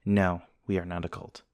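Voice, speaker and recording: A man acts out an earnest male scientist in an intimate ASMR roleplay. His voice sits low, and he talks in polite, precise, wordy sentences with a touch of social awkwardness. The sound is dry, with no room echo. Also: a little mellow. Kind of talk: ASMR roleplay, one-sided acted conversation.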